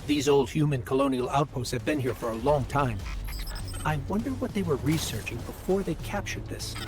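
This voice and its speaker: A man's voice: medium pitch, expressive, moderate pace, music in the background, close to an everyday voice. A man voicing an earnest, curious companion who guides the player through a game, helpful and explanatory. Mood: focused, curious. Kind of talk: scripted game dialogue.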